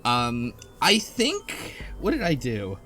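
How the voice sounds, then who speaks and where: A man's voice: medium pitch, huge pitch swings, takes it slowly, pushed and bright, soft background music. A man hosting a casual group discussion, explaining things in an easily amused, conversational way. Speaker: podcast host.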